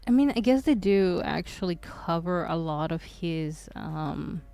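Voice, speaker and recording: Gravelly low-voiced woman streamer. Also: animated, unhurried, warm tone, no echo, soft background music. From Croatia. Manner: hesitant and rambling, many fillers and restarts. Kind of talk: casual livestream chat.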